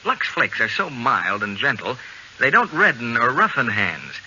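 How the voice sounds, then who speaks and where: A male announcer delivers polished 1940s radio ad copy. His voice is very bright and animated, on a muffled recording. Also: medium pitch, moderate pace. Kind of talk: 1940s radio advertising patter. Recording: muffled vintage radio with faint hiss.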